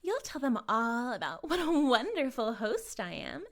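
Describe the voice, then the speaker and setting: A woman playing a possessive, self-righteous cult leader in an intimate audio roleplay, cooing and condescending, sometimes breathy, speaking directly to the listener. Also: on the high side, big pitch swings, moderate pace. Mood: sweetly menacing. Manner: theatrical, cooing, condescending, drifting into breathy whispers.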